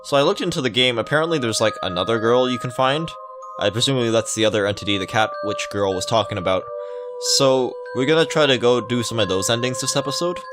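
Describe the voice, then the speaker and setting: A man hosting a gaming video, reading a visual novel aloud with casual, halting, rambling commentary. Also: medium pitch, lively intonation, over music.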